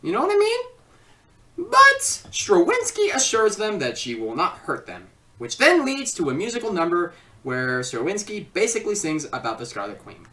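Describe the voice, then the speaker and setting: A woman giving a casual, rambling, excitable comedic film review. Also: medium pitch, normal speed, distant mic.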